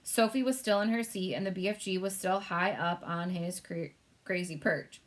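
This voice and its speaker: A woman narrating a children's book aloud, steady and clear. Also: fairly low pitch, moderate pace. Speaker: storyteller.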